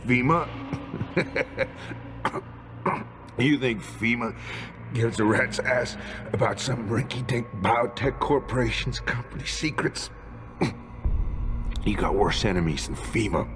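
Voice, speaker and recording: A man's voice: mid-range voice, close to an everyday voice. Casting: male actor for coarse dying mercenary, taunting and defiant, dragging pace, big swings, under game music. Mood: scornful. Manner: halting, sneering. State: dying, coughing and straining. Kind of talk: video game cutscene acting.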